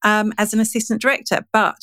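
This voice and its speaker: A woman's voice: medium pitch, level tone, fast, fairly bright tone, no echo, clean studio sound. A woman speaking as a podcast guest, telling her story in a casual, conversational way. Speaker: podcast guest, digital marketing trainer.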